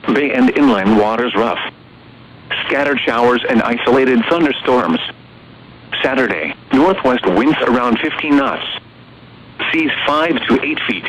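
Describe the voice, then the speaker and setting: A computer-generated male voice reading an automated weather broadcast in a flat, evenly paced way with odd stresses. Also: mid-range voice, measured pace. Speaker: automated weather radio announcer. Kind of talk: text-to-speech weather broadcast. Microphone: radio receiver recording. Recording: dull radio broadcast with clear noise.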